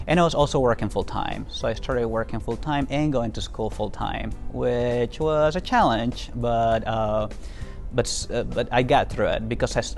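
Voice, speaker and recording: A man's voice: medium pitch, gravelly, darkish tone. A young man from Venezuela, speaking earnestly in an interview testimonial about his work as a Foreign Service officer, with frequent fillers and restarts.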